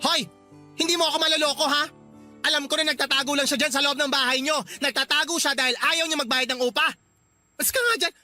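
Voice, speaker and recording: Man acting a sharp, high-pitched cruel landlord. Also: steady tone, fast, soft background music, heavily acted. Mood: irritated, accusing. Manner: loud, accusing, scornful. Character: angry, cruel, superstitious male landlord bullying a child. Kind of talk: radio drama acting.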